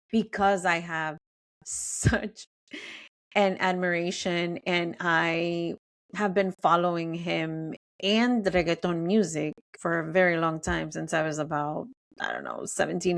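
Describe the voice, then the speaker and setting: Low gravelly-voiced woman podcast host. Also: measured pace. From Mexico. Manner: emphatic, conversational, asks the audience questions. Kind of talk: casual monologue.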